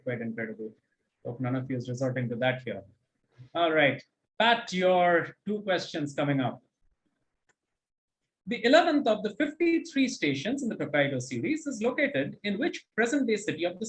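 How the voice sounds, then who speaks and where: A male quizmaster with a fairly high voice and very animated intonation. He reads questions articulately, then adds encouraging comments and explanations as he goes. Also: moderate pace, poor audio. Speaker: quizmaster and host. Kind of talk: reading quiz questions and commenting on answers.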